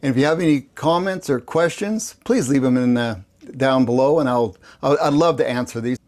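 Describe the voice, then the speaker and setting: A man's voice: mid-range voice, fairly quick, a little mellow. A man with a mid-range voice talks casually to his viewers as a video host. He explains things in plain, folksy language. He tends to restate his point for emphasis. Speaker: host of a prepping channel, ham radio operator.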